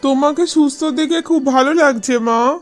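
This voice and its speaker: A determined young son from a cartoon folk tale, voiced by a woman, speaks earnestly. The voice is fairly high, with faint music behind it. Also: moderate pace, close to an everyday voice. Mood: glad. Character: devoted, determined young son of village landowner. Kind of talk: cartoon fairy-tale acting.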